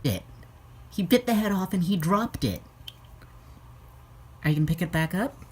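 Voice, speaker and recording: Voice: mid-range voice, lively intonation, moderate pace, breathy edge. A vlogger chatting casually to camera at home, playful and sweary, cooing like a pet owner. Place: indoors at home.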